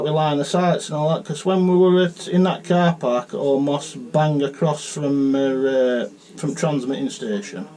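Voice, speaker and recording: A man with a South Yorkshire accent talks in a slightly high voice. He chats casually as a CB radio operator. His delivery is halting and chatty, sprinkled with technical jargon. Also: darkish tone, low-quality recording.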